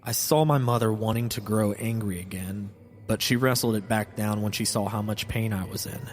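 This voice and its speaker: A man's voice: fairly low pitch, normal speed, a little hiss or hum. A man reading a scripted first-person horror story as a solemn, literary narrator. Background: music.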